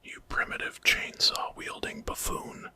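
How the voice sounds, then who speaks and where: A man voicing a haughty, vengeful alien villain in a snarling, breathy whisper for an ASMR story. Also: measured pace, natural voice. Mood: enraged contempt. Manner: snarling, contemptuous.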